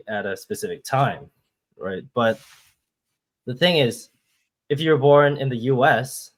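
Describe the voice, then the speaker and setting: A man from Hong Kong, a guest astrologer, patiently explaining step by step over a video call. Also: mid-range voice. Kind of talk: explaining with shared screen.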